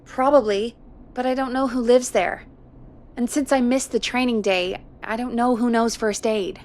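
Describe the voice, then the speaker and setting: An actress playing a frank, practical radio producer in a video game, talking in a matter-of-fact, slightly wry way. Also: female voice, a little high, fairly even intonation, normal speed, darkish tone, natural voice. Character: practical, frank woman radio producer in 1980s small town. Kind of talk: video game voice acting, conversational.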